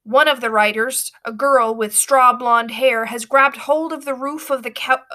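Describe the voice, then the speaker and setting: A woman reading a storybook aloud with expressive, dramatic narration. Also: fairly high pitch, unhurried.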